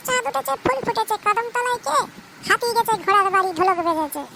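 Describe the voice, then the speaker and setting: A woman's voice: very high voice, lively intonation, fast, vocal fry, noisy background, heavily acted. A woman voicing a cheeky young schoolchild in a comic cartoon classroom. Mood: cheeky, sing-song.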